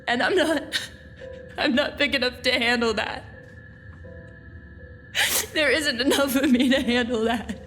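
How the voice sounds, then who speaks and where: An actress playing a bitter, despairing young woman in an audio drama, speaking in a plaintive, halting way. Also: sits high, fairly bright tone, faint music behind. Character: young woman, despairing, bitter, feels cheated by a dying world.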